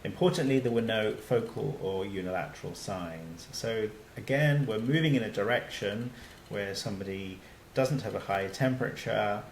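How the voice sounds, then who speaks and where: A man with a lowish voice delivers a recorded medical lecture over slides. He talks in a relaxed, conversational way, often pausing on fillers and restarting phrases. The sound is roomy, with faint noise behind. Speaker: doctor giving a lecture.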